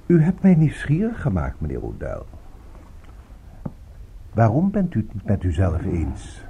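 A suave male crime boss in a radio drama. His voice is mellow and swings freely in pitch, a little husky, with polished, unhurried courtesy over quiet menace. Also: wildly swooping pitch, heavily acted. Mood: smoothly menacing. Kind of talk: Dutch radio drama acting.

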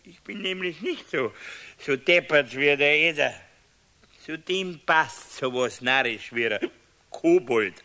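An actor playing a tipsy, blustering husband with a Bavarian accent in a radio play, talking in a muttering, scornful drawl. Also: male voice, a little high, expressive, slow, sharp tone, full character voice. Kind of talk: 1970s radio play acting.

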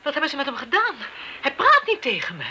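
A woman's voice: sits high, wildly swooping pitch, quick pace, background noise. A woman playing an anxious, prickly mother in a radio play, agitated and plaintive. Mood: distressed. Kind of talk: Dutch radio play acting.

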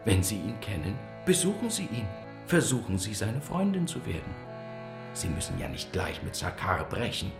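A sly opportunist in a radio drama, with loud guitar music behind him. His echoey voice swoops in pitch as he coaxes and insinuates like a conspirator. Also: sing-song, normal speed, vocal fry. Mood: conspiratorial, coaxing. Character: sly, opportunistic male newspaper intriguer. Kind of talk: German radio drama acting.

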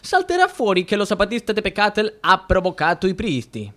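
An actor playing a confused, easily swayed judge in a radio play, stammering and repeating himself anxiously. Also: male voice, sits high, huge pitch swings, fairly quick, a bit bright, exaggerated voice. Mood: smug, scheming. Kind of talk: theatrical radio drama acting.

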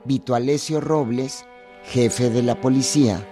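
A man's voice: mid-range voice, steady tone, unhurried, music in the background. A man narrating a scripted historical radio drama.

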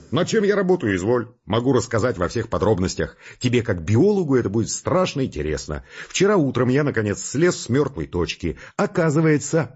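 An actor playing a harried, irritable male scientist with a gruff, sarcastic, slangy way of talking in an audio drama. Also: low voice, darkish tone, dull audio, heavily acted.

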